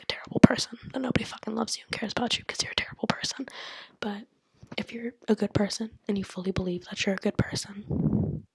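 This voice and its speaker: Young woman whispering super breathy and rambly, dead dry close mic. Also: mid-range voice, darkish tone, no room sound, rough recording. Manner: candid, rambling, lots of "like" and "you know". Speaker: vlogger. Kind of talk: whispered casual monologue.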